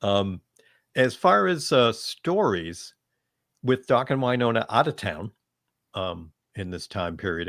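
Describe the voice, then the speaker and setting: A man with a somewhat low voice takes part in a casual fan panel. He thinks aloud in long, measured sentences, and the sound is dry with little room echo. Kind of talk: casual discussion.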